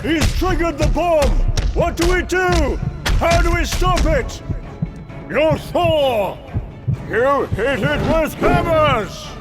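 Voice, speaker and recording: A man's voice: sits high, sing-song, unhurried. A man playing a bold, brash thunder-god warrior in a motion comic, with heroic, declamatory delivery. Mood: urgent. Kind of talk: dramatic motion comic voice acting. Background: loud music.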